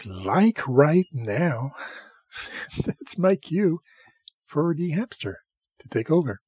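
Husky-voiced man hosting a music show. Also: on the high side, sing-song, extremely slow, darkish tone. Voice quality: slightly husky, tired night voice. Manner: chatty, rambling, enthusiastic about music. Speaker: radio show host. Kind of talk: casual music show chat.

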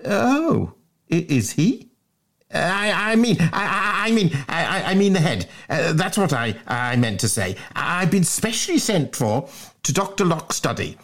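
A man's voice: fairly high pitch, big pitch swings, unhurried, fairly bright tone, pitched above the performer's own voice, exaggerated voice. A man voicing a fat, flustered schoolboy from a storybook, greedy and indignant, who stammers and blusters out his excuses. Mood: stammering excuses. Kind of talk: storybook character voice in a dramatic reading.

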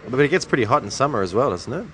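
A man's voice: medium pitch, big pitch swings, very fast, slight background noise. A man interviewing a guest in a casual chat, talkative and hedging with fillers.

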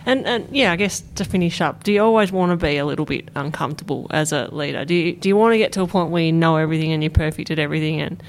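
A woman's voice: deep voice, very fast, hardly any pauses, a little hiss or hum. An Australian woman interviewing a guest in a conversational, informal way.

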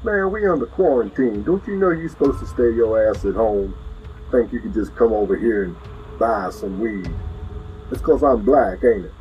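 A man playing a gruff, suspicious weed dealer in an audio drama, blunt and slangy. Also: lowish voice, animated, moderate pace, mellow, natural voice. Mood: suspicious, scolding. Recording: dull sound, faint noise.

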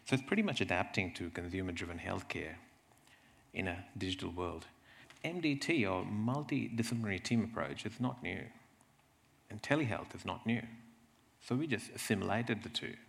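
Male clinician as conference speaker, deep creaky voice, emphatic storyteller who likes rhetorical questions. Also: animated, normal speed, a bit bright. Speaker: clinician presenting at a health event. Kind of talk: conference presentation with anecdotes.

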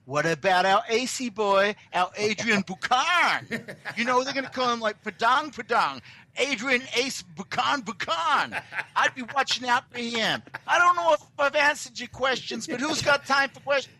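A man's voice: very high voice, lively intonation, moderate pace, sharp tone, exaggerated voice. A man playing a brash, crude older surf industry boss, calling in and rambling boastfully. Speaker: caller on satellite phone.

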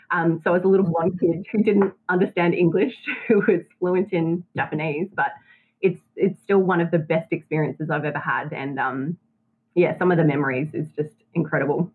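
A woman's voice: on the low side, husky. A woman in her thirties with an Australian accent, chatting as an interview guest and laughing often. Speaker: guest, business owner. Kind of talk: casual interview. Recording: tinny phone-like line.

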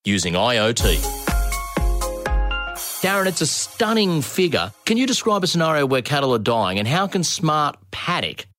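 A man's voice: a little high, big pitch swings, normal speed, music in the background. A man hosting an interview, prompting his guest with curious questions. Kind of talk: podcast interview.

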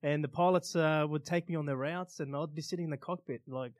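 A man with an Australian accent telling personal stories as an interview guest, in casual, halting phrases with pauses. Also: a little high, fairly even intonation, dry recording, studio quality. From Australia, born in Iran. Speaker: interview guest, stunt pilot and motivational speaker.

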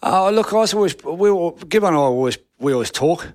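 A man's voice: sits high, animated, fairly quick, darkish tone, no echo. A middle-aged man with a New Zealand accent, a rugby coach answering interview questions frankly, with many restarts. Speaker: rugby assistant coach guest.

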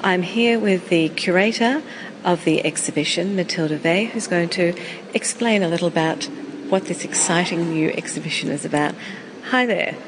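A woman's voice: fairly low pitch, normal speed, noisy background. A woman conducting a conversational podcast interview on location, thinking aloud as she prompts and affirms her guest. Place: indoors in a gallery exhibition. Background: exhibition film soundtrack music.

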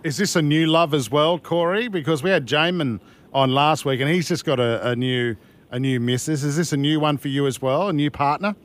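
Middle-aged Aussie radio host, slightly raised pitch, friendly and joking. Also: male voice, brisk. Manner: jovial, matey. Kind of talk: casual radio interview. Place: radio studio.

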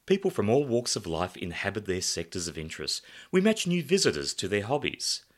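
Male reader as storybook angel greeter: eager, emphatic, announcing, pitched up and animated. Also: fairly quick.

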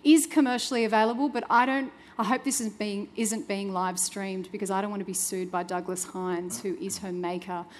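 A woman's voice: medium pitch, fairly even intonation, brisk, few pauses. A woman speaking on a discussion panel, hesitant with lots of fillers, joking lightly as she explains. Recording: reverberant hall with faint noise.

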